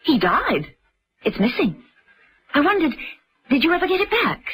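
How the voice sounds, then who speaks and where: An actress playing a poised, persistent English lady investigator in a radio drama, husky and breathy, asking polite, pointed questions. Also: high-pitched, wildly swooping pitch, moderate pace, fairly bright tone, exaggerated voice. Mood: probing.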